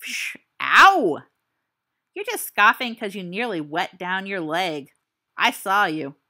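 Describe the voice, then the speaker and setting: A cocky teenage wizard boy who mocks and heckles his friend's story in loud, jeering bursts, his pitch leaping all over the place, as a woman reads him. The voice sounds bright and sharp, like an audiobook figure. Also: very animated, slow pace, heavily acted. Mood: teasing.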